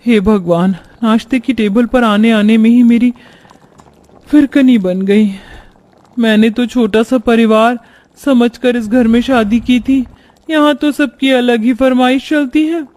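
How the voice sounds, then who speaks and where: A man's voice: extremely high pitch, fairly even intonation, a little slow, darkish tone. A man voicing a young new bride, plaintive and dutiful, in a cartoon family story. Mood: weary complaining. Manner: plaintive grumbling.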